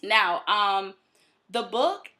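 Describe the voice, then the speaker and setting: An American woman from Detroit giving reflective solo commentary as host, explaining deliberately and posing questions to the listener. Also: medium pitch, lively intonation, sharp tone. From Detroit, United States. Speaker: host of a commentary and book review show.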